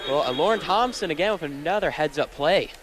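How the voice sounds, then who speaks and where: A man's voice: on the high side, animated, fairly quick, fairly bright tone, slight background noise. A young man giving live analysis as a color commentator on a volleyball broadcast.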